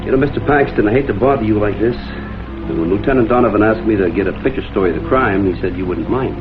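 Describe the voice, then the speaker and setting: A man's voice: lowish voice, fast talker, lightly acted. Man acting a dogged crime photographer, tinny old soundtrack. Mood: politely probing. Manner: dogged, questioning, polite but insistent. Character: persistent, shrewd male crime photographer playing detective. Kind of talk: vintage crime drama acting. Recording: muffled phone-like old soundtrack, music behind.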